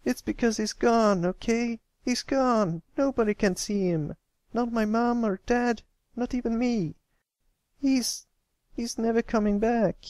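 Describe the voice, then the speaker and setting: A timid young pony colt from a storybook, acted by one reader, pitched up high. He is meek and halting, guarded about what hurts him, in a dry, close sound. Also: fairly even intonation, darkish tone, dry recording, close to an everyday voice. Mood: anguished, angry. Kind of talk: acted fan fiction reading.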